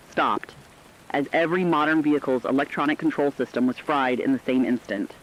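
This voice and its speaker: A man acting as a solemn radio host speaks in a medium-pitched voice over a slightly dull, lo-fi signal. He is earnest and narrates like a storyteller on a scripted disaster broadcast. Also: close to an everyday voice. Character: solemn, earnest male host of a last surviving radio station.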